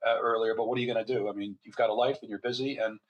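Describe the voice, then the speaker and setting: A man's voice: lowish voice, fairly even intonation, very fast, highs cut off. An older man, a physician and author, talking as a podcast guest in a chatty, storytelling way with wry asides. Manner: chatty storyteller, wry humor, frequent restarts. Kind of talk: casual podcast interview.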